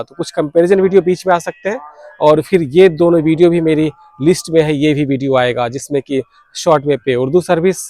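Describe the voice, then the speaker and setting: Guy doing a hobby vlog explainer, dry close sound, bit higher voice, drops english tech words. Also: darkish tone, no room sound. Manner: explanatory, mixes in English technical terms. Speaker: radio hobbyist vlogger, host. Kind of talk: informal video explainer, listing details.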